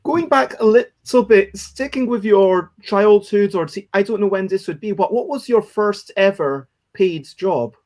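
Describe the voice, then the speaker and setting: A man's voice: high-pitched, measured pace, few pauses, darkish tone. A man hosting a casual chat show, speaking in a rambling, encouraging way and often correcting himself as he goes. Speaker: host of a daily livestream chat show.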